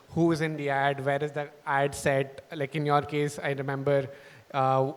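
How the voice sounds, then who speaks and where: A man on stage at an event hall who asks his guest questions in a loose, casual way. His slightly high voice rings with the long reverb of the room. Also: fairly bright tone. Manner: casual, filler-heavy questions. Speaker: startup presenter. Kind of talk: onstage interview.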